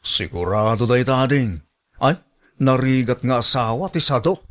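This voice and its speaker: A man's voice: mid-range voice, moderate pace, a bit bright, natural voice. An actor with an Ilocano accent playing a familiar, flirtatious and sympathetic village man in a radio drama. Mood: curious, chatty.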